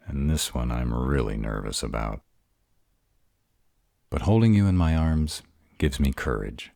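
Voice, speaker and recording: A man voicing a bashful, awkward male were-dragon in love, halting and earnest, in a romantic roleplay audio. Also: extremely low pitch, gravelly, mellow, dry recording, clean studio sound, full character voice.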